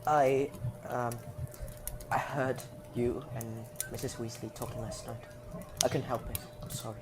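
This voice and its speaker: A woman's voice: extremely low pitch, steady tone, unhurried. A woman playing a polite, modest but brave thirteen-year-old boy wizard in a fan audio drama, speaking hesitantly and apologetically. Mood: polite, reassuring. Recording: clear background noise.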